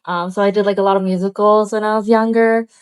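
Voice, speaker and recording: A shy woman from Pennsylvania introducing herself casually, hesitant and quiet. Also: medium pitch, fairly even intonation, quick pace, smooth. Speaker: girl group member.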